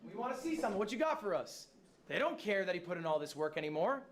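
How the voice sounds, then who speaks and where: High-pitched guy, blunt pep talk, swears a bit. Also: big pitch swings, fast. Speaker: speaker in the watched video. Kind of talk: motivational advice.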